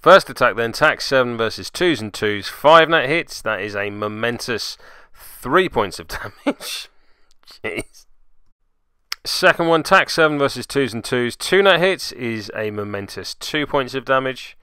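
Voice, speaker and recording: Male tabletop game commentator, mid-pitched and smooth, dry sound, play-by-play with wry asides. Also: lively intonation, a little slow, sharp tone, no echo.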